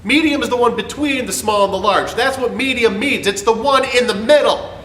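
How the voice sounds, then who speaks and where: A man's voice: very high voice, a little fast, fairly bright tone, far from the mic, a little hiss or hum. A man giving an emphatic, sarcastic dramatic reading of an argumentative script. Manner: theatrical, emphatic, sarcastic delivery. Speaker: host reading his own essay. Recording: roomy, off-mic sound with background music.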